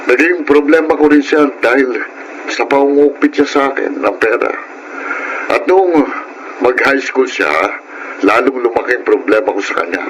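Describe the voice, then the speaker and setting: A man's voice: mid-range voice, a bit bright, a little hiss or hum, close to an everyday voice, poor audio. A man with a Filipino accent playing an earnest, protective older father-in-law and grandfather in a radio-style melodrama. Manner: grave, confiding.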